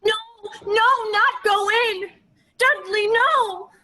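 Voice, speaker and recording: A woman playing a timid, childlike young woman of the far future in a radio play, speaking in halting, broken, pleading phrases. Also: very high voice, pushed and bright, full character voice. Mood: frightened, pleading.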